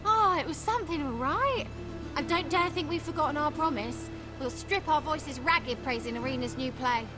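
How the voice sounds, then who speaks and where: A woman's voice: sits high, normal speed, over music, lightly acted. A woman voicing a melancholy, earnest elven street performer in a video game, with formal, lyrical phrasing. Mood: grateful. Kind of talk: video game character acting.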